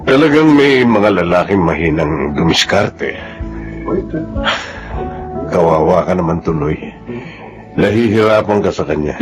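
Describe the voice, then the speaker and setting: A moneyed, smooth-talking seducer in a radio drama. He is suave and flirtatious in a fairly low voice, with clear music behind him. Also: expressive, a little slow, pauses often. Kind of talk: Filipino radio drama acting.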